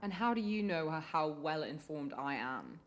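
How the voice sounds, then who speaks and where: An actor playing a prickly, outspoken young British friend in a naturalistic radio play, sarcastic and sweary. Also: male voice, on the high side, lively intonation, normal speed, a bit bright, slight background noise. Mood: irritated.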